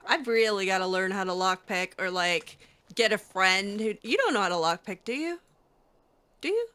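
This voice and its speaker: A woman's voice: mid-range voice, expressive, moderate pace, pushed and bright. A woman improvising in character during a game playthrough, talking casually and hesitantly with dry sarcasm. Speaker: gaming host role-playing her character.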